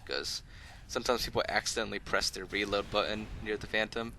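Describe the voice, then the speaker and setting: Bright-voiced low-pitched man casually commentating. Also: a little fast, gravelly, slight background noise. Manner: rambling, conversational, easygoing. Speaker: host of a gaming video channel. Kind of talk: casual unscripted gameplay commentary, tutorial.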